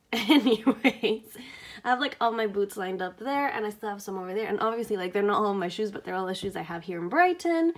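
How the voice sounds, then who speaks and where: A woman in her twenties chatting casually to her phone in a small bedroom, full of "like" and little giggles, her voice a bit croaky. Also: mid-range voice, lively intonation, a little fast. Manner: chatty, lots of "like", giggly asides. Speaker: vlogger. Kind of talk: casual vlog chat. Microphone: phone held at arm's length.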